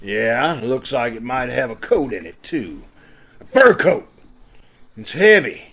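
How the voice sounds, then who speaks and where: A man playing a gruff, suspicious police inspector in an old-time radio drama, sardonic and accusing, over a video call. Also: medium pitch, big pitch swings, a little slow, a crowd in the background. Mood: searching, insistent. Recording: faintly noisy video call recording.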